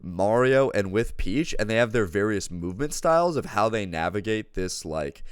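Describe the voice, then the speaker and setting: A man's voice: lowish voice, expressive, normal speed, clear, resonant tone, no room sound, clean studio sound. A man chatting casually as a film reviewer, enthusiastic and peppering his talk with "dude". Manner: enthusiastic, says dude often. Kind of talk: casual chat movie review.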